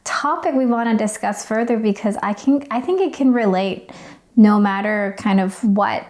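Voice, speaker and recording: Woman, native Cantonese speaker, podcast co-host, thoughtful, hesitant, mid-pitched. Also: moderate pace, a little mellow. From China. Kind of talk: casual conversational podcast.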